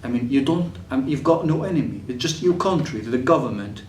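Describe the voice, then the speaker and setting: A young man from Syria speaks, recounting his story with pauses, fillers and restarts. He sits some way from the mic in an echoey room, with faint ambient noise behind him. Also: mid-range voice, expressive, darkish tone, far from the mic. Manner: hesitant, many fillers and restarts. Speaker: refugee telling his story. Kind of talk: personal testimony.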